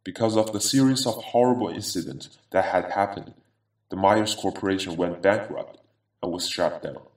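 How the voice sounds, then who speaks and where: A man voicing a vengeful half-machine former attorney in a grave, deliberate, ominous horror game monologue. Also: low voice, a little mellow, natural voice. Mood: grim, measured. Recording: echoey, distant-sounding voice.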